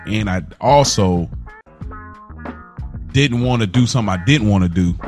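A young man with a low voice co-hosts a casual podcast. He talks in a loose, rambling way, often restarting his sentences, over faint background music. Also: lively intonation, measured pace, darkish tone. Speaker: podcast co-host.

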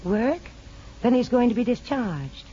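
An actress in a 1950s radio drama playing an earnest, hesitant young hospital aide from a farm. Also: female voice, fairly high pitch, very animated, warm tone. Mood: guarded, earnest. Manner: hesitant, halting, restarts phrases. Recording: muffled, noisy old radio broadcast.

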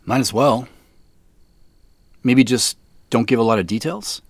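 A man's voice: mid-range voice, unhurried, no room sound. An actor playing a troubled, weary younger brother who tells his story in an understated, reflective way in an audio drama. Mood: subdued, hesitant. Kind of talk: first-person audio drama narration and dialogue.

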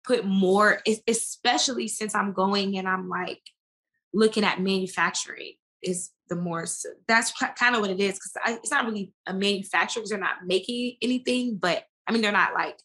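An American woman with a bright, forward voice talks as a guest in a relaxed interview. She speaks in a slangy, emphatic, conversational way at a medium pitch. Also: normal speed. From United States.

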